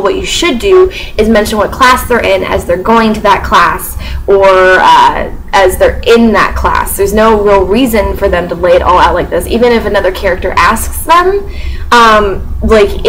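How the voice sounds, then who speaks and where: A woman's voice: medium pitch, low-quality recording. Young woman, Midwestern American, mostly neutral accent, sarcastic, chatty, many fillers, faint background hiss. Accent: mostly neutral American, sometimes Southern. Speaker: book reviewer. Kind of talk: casual spoken book review.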